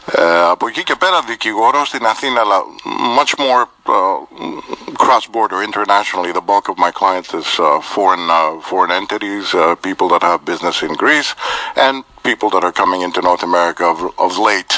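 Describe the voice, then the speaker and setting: A man from Montreal, a lawyer guesting on a radio show, speaking in a measured way with pauses. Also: deep voice, pushed and bright, dry recording. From Montreal, Canada. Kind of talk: casual radio interview.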